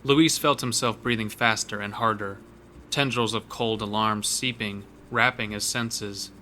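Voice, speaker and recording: Low, forceful male horror narrator. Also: fairly even intonation, unhurried, a little hiss or hum. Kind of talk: scripted horror story narration.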